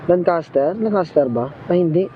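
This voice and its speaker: A man's voice: high voice, lively intonation, normal speed, over loud music. A man chatting and narrating casually as a motorcycle taxi vlogger, jokey and teasing, with frequent laughter. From Philippines. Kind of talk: casual chat and vlog narration. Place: outdoors riding a motorcycle in traffic. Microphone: helmet intercom mic. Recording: muffled helmet intercom, loud road noise.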